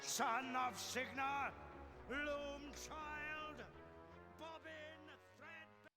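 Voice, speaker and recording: An actress playing an imperious, wrathful guild elder in a fantasy game drama, declamatory and menacing. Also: female voice, high-pitched, little pitch movement, drawn-out words, music in the background.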